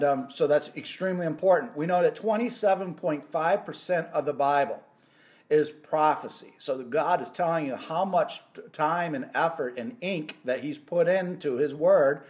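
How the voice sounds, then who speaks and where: Earnest middle-aged man preaching prophecy. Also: fairly high pitch. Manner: earnest, halting, many "uh" restarts. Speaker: ministry host. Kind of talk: weekly Bible prophecy teaching. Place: indoor office or home room.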